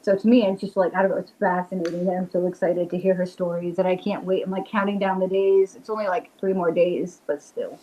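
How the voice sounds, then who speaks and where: A woman's voice: lowish voice, steady tone, fairly quick, hardly any pauses, warm tone, poor audio. A woman with a Rhode Island accent, a guest chatting eagerly and casually, full of "like" fillers. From Rhode Island, USA. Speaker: guest, witch and paranormal investigator. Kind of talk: casual video-call interview chat.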